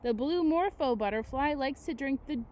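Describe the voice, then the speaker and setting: A woman with a mellow, soft-edged voice works as an elementary teacher doing a read-aloud. She reads expressively and breaks off for casual comments and questions to the children. The recording has some background ambience, like being out in moving air. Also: high-pitched, animated, moderate pace, slight background noise. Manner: casual, conversational, engaging listeners with questions.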